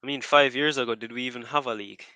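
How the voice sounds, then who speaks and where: A man chats casually as a podcast host, rambling through restarts. His voice is bright and crisp. The sound is dry and close. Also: mid-range voice, steady tone, very fast, dry recording. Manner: rambling, restarts often, wraps up repeatedly. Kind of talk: casual chat.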